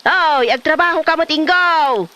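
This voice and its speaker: A woman acting a sharp-tongued, sermonizing mother in an Ilocano comedy drama, with an Ilocano accent. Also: sits high, measured pace. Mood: scolding. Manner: sermonizing, indignant. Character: sharp-tongued mother scolding her lazy grown son.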